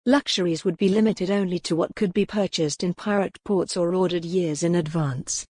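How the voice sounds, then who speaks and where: A woman's voice: lowish voice, steady tone, normal speed, a little mellow, no room sound, studio quality. A woman reading a scripted work of fiction as an audiobook narrator.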